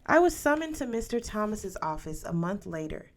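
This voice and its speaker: A woman's voice: medium pitch, very animated, normal speed. A woman reading a novel aloud as a scripted narration for young listeners. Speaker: audiobook reader.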